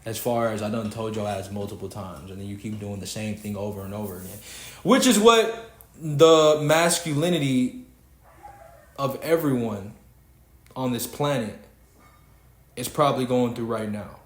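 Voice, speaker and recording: A man's voice: lowish voice, expressive, moderate pace. Preachy young Texan man in an echoey room. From Houston, Texas. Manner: preachy, emphatic, swearing freely. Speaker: podcast host. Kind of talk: casual podcast rant.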